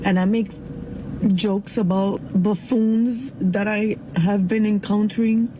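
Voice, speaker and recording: A woman in her forties speaking quickly and confessionally. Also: medium pitch, steady tone, noisy background. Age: 40s. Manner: rapid, confessional.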